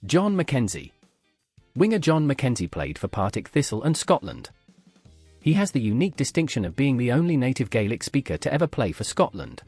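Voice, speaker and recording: A man narrating a scripted football history piece in a measured way, letting each sentence fall at its end. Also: mid-range voice, darkish tone, husky.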